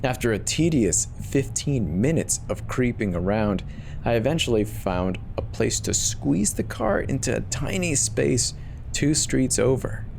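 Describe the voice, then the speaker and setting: A man's voice: mid-range voice, very animated, measured pace, a little mellow. A man narrating a first-person horror story in a dramatic scripted reading, with a weary edge. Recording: clear noise bed behind the voice.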